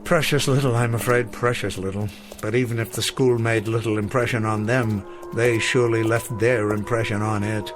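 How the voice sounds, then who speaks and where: A man's voice: fairly low pitch, fairly even intonation, normal speed, hardly any pauses, music in the background, lightly acted. Man playing a weary, elderly melancholy schoolteacher. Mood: wistful. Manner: formal, eloquent, melancholy. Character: weary, self-doubting elderly male schoolteacher, gentle and bookish. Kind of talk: radio drama acting.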